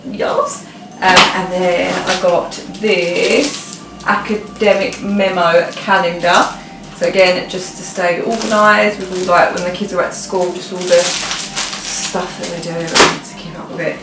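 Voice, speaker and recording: A woman's voice: lowish voice, fairly even intonation, measured pace, background noise. A young British woman chatting casually and informally, thinking aloud as she vlogs. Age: young adult. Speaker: vlogger. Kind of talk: casual chatty haul vlog. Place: living rooms at home. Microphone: camera a few feet away. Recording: roomy, distant mic, music behind.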